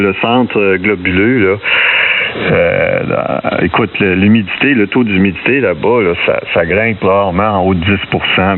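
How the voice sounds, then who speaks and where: A man with a Québécois French accent chatting casually over amateur radio, in a hesitant, halting way. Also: fairly low pitch. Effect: two-way radio transmission. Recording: narrow-band amateur radio with hiss.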